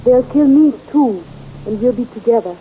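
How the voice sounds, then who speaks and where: An actress playing a devoted young heroine in a 1930s radio serial, breathless and melodramatic, on a muffled old recording with hiss. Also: female voice, on the high side, expressive, normal speed, close to an everyday voice.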